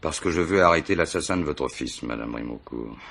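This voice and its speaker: An actor playing a wily, self-assured police commissioner in a radio mystery play, talking in a colloquial, teasing way. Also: male voice, rumbling low, expressive, fairly quick, fairly bright tone, heavily acted. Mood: probing. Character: wily, jovial, bluffing male police commissioner. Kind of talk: French radio mystery drama acting.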